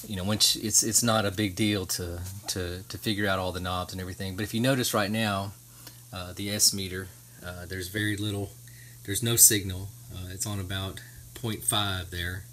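A middle-aged man giving a casual hands-on demonstration in a halting, hesitant way, recorded on a handheld camera. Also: sits low, steady tone, sharp tone, a little hiss or hum. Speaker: homestead vlogger. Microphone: handheld camera, speaker behind it.

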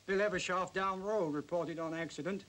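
A stubborn old country poacher with a rural English accent. His voice is high and grumbling, in old film style. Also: fairly even intonation, moderate pace, fairly bright tone. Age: older. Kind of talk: 1950s British film acting.

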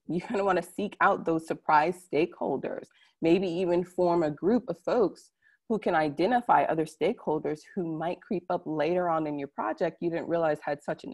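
A woman's voice: on the low side, a little mellow. A woman giving an informal online presentation, speaking conversationally and addressing her listeners directly. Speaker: conference presenter. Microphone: computer mic on a video call.

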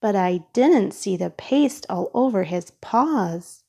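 A woman reading a children's storybook aloud in a careful, expressive way for young listeners. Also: medium pitch, slow, vocal fry, slightly rough. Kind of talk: read-aloud storybook narration.

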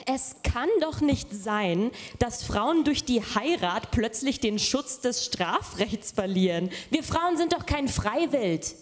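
A woman's voice: a little high, lively intonation, takes it slowly, hardly any pauses. A woman making an indignant, emphatic political statement in an archival clip.

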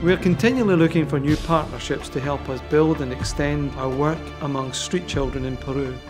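Man, scripted narration, measured and informative, raised pitch, music bed.

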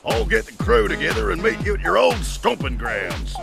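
Gravelly, matey elephant seal. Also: male voice, high voice, big pitch swings, moderate pace, over music, heavily acted. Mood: gruff, friendly. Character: big, gruff, matey male elephant seal.

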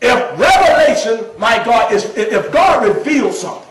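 A man in his thirties with a Southern US accent preaching a fervent, emphatic sermon, shouting and repeating his phrases. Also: very high-pitched, sing-song, moderate pace, slight background noise. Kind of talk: fervent call-and-response sermon. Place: small church sanctuary, indoors. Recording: roomy church, distant mic, loud congregation. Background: congregation responses.

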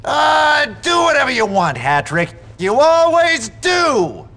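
A man's voice: extremely high pitch, wildly swooping pitch, takes it slowly, pushed and bright, exaggerated voice. A man playing a washed-up, tipsy English teacher in his thirties in a video game, theatrical and self-pitying. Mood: defensive. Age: 30s.

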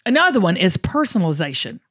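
A woman's voice: on the low side, very animated, fast talker, fairly bright tone, husky. A woman with a Southern US accent hosting a motivational talk podcast, emphatic and folksy, repeating phrases to drive them home. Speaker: show host.

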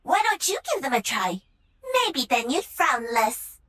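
An actress playing a cocky, playful young Korean mech pilot and gamer, cheeky and teasing. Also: female voice, on the high side, very animated, a little slow, a crowd in the background. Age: young adult.

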